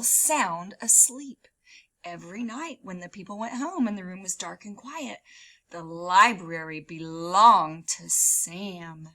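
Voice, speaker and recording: Very animated, bright woman reading aloud. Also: fairly high pitch. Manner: expressive, dramatic, drawn-out emphasis on key words. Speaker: teacher reading aloud to children. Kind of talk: animated children's storybook read-aloud.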